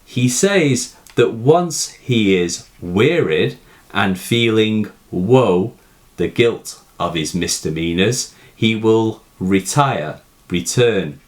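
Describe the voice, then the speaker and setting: Slow, low-voiced man with expressive pitch. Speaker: poetry teacher. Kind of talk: scripted explanatory narration of a poem analysis.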